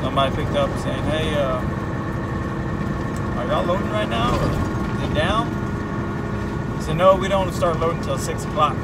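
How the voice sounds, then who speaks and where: A Texan man in his thirties talks through a laid-back, rambling story with plenty of "uh"s, his voice sitting a little high for a man. He sounds set back from the dashboard camera, and the loud engine and road roar of the truck cab run under every word. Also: normal speed, long pauses, recorded from a distance, heavy background noise. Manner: relaxed, rambling retelling with many fillers. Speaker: owner-operator truck driver vlogger. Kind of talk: casual storytelling vlog. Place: cab of a moving semi truck. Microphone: camera mounted on the dashboard.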